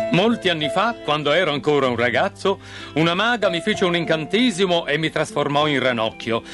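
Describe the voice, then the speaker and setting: Male narrator as young storybook prince: warm, tender, courtly, lively intonation, music underneath. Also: fairly high pitch, natural voice. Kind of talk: storybook character acting.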